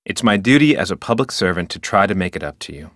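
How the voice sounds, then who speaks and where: An actor playing an officious, dutiful and touchy park ranger in a video game, speaking in a formal, self-important manner. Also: male voice, low voice, big pitch swings, fast, no echo, clean studio sound. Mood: stiff, subdued. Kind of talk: video game character dialogue.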